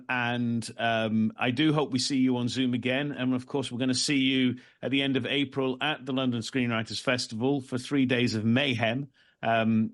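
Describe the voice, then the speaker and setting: A man hosting a podcast discussion in a casual, conversational style. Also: mid-range voice. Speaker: podcast host and session moderator. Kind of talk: casual moderated discussion on a video call.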